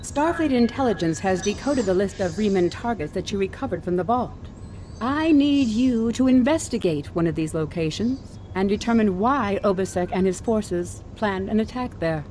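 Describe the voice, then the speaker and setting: An actress playing a composed fleet admiral in a video game, giving formal, measured mission orders. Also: female voice, medium pitch, animated, darkish tone, a little hiss or hum, natural voice. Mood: composed, official. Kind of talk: scripted game mission briefing.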